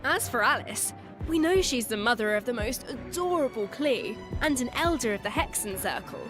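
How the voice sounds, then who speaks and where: A woman co-presenting with expressive, scripted conversational banter. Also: fairly high pitch, normal speed. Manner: expressive, exclaiming. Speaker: co-presenter of a game special program. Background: music.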